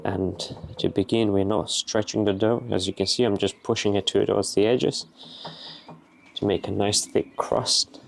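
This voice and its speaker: A young man with a Bulgarian accent, casually explaining as he cooks outdoors in a garden, relaxed and matter-of-fact. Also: fairly low pitch, a little slow, creaky, a little hiss or hum. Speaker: vlogger. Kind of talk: casual explaining while cooking.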